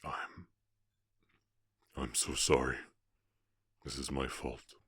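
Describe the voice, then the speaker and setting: A man voicing a fierce but tenderly protective orc cowboy in an ASMR roleplay, speaking in terse, halting, earnest phrases. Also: rumbling low, level tone, a bit bright, husky, dry recording, studio quality, exaggerated voice. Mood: tender, flustered.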